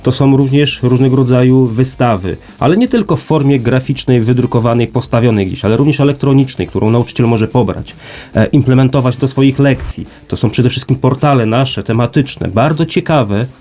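A man's voice: medium pitch, moderate pace, dark tone. A man speaking as an institute representative in an interview, explaining formally and emphatically with rhetorical repetition and lists.

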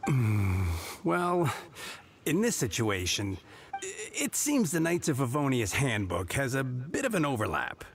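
A man's voice: medium pitch, huge pitch swings, unhurried, creaky, full character voice. A man voicing an officious, rule-bound knight on gate duty in a video game, formal and stiff. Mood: pondering. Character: officious, rule-bound male knight guarding city gates. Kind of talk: scripted video game voice acting.

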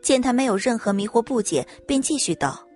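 A woman's voice: fairly high pitch, animated, brisk, darkish tone. A woman reading a scripted story as a dramatic audiobook narrator. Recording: music bed under narration.

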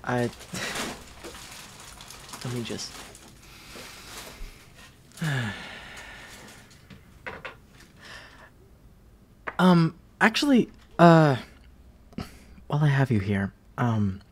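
Male actor for bashful young college man with a crush, roleplay audio. Unhurried, halting delivery, very animated pitch. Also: a little hiss or hum. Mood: flustered. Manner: halting, flustered stammering with nervous laughs.

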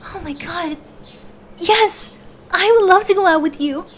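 Woman acting a very high-pitched, shy young woman. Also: unhurried. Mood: shy, tender. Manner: hesitant, stammering, bashful. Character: shy, flustered young woman confessing to best friend. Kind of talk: ASMR roleplay audio drama.

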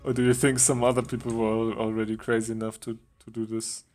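A man casually chatting with a friend while they play a game together, relaxed and joking, laughing now and then. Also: mid-range voice, quick pace, soft background music. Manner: relaxed, joking, chuckles often. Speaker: game streamer. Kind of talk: casual gaming chat with a friend.

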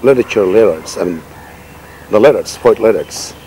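A middle-aged man whose first language is Nepali, answering interview questions about his writing in a measured, reflective way with many "you know" fillers, seated outdoors in a garden. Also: lowish voice, lively intonation, dark tone, rough recording. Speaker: poet and writer, interview guest. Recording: outdoor recording with noticeable background noise.

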